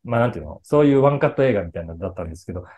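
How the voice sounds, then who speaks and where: Middle-aged male, casual explainer for an online fan audience; mellow timbre, animated pitch movement, searching for words as he goes. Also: lo-fi sound. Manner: explanatory, conversational, with frequent pauses to search for words. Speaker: voice actor and actor talking to his fan club. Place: small indoor room with a patterned wall, video call.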